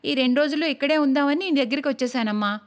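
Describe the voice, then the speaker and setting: A woman voicing a demanding, sharp-tongued, scheming young married daughter in a cartoon moral story. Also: high-pitched, fast talker, close to an everyday voice. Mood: wheedling, smug.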